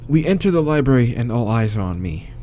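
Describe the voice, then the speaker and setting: A man's voice: mid-range voice, big pitch swings, quick pace, mellow, faint music behind. A man reading visual novel narration aloud as a let's player, with casual, crude asides.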